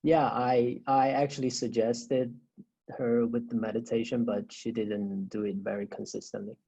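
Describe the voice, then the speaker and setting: Male trainer, husky and gravelly, hesitant delivery in casual shop talk, dull lo-fi call sound. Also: mid-range voice, level tone, mellow. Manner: hesitant, halting. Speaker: call participant, trainer asking about a client. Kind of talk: casual professional discussion. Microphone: conference call.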